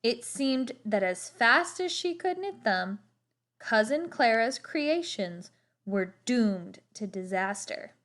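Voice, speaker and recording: Woman reading a storybook, slow and lively. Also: on the high side. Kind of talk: expressive children's storybook read-aloud.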